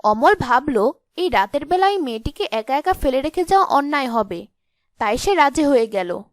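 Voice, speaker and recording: A woman's voice: high voice, sing-song, moderate pace, no room sound, studio quality. A woman narrating a scripted fairy tale as a storyteller.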